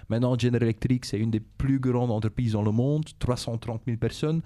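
Man, Belgian, Flemish accent, Dutch native speaker, middle-aged, measured, mellow and warm voice. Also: mid-range voice, fairly even intonation. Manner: measured, repeats himself, frequent "euh" and "et cetera". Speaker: business executive interviewed by schoolchildren. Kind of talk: calm explanatory interview answers.

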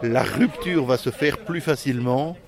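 A man's voice: medium pitch, moderate pace. A man answering interview questions and explaining technique in careful, technical detail, often restarting mid-phrase. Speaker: flint-knapping expert.

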